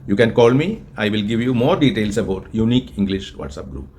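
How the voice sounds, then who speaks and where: A man's voice: on the low side, expressive, a little mellow, slight background noise. Earnest Indian man with a Malayalam accent. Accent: Indian, Malayalam-influenced. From Kerala, India. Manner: earnest, deliberate, repeats and restarts phrases. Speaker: English tutor and group organizer. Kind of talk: spoken English lesson with an AI chatbot.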